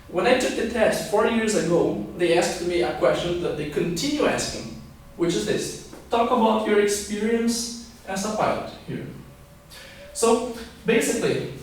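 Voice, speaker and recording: A man in his twenties from Scotland and Brazil giving an instructional video lesson, explaining step by step and often checking in with okay. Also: high voice. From born in Scotland, raised partly in Brazil. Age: 20s. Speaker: English teacher and pilot giving a lesson. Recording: very echoey room, distant microphone.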